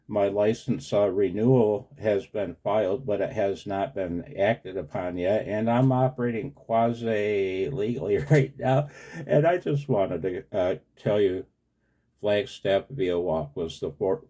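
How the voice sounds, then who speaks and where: American guy in his 60s, deep-ish relaxed voice, chatting on ham radio, earnest and deferential. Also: breathy edge. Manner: earnest and deferential, often saying sir. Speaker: amateur radio operator. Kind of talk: casual two-way radio conversation.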